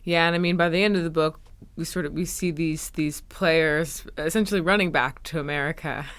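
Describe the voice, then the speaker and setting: A woman's voice: low voice, fairly even intonation, fairly quick, fairly bright tone, smooth. A woman speaking as a podcast host in a conversational interview style.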